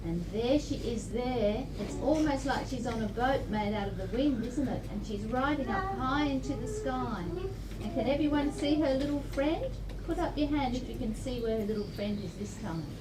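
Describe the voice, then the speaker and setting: A high-voiced Australian woman reads a picture book to small children, explaining it in simple words and inviting them to answer. She is heard at a distance in an echoey room. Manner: simple, encouraging explanations addressed to young children. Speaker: storytime presenter reading to children. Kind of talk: picture-book read-aloud with questions to children. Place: library event room. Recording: distant, echoey room recording with clear noise.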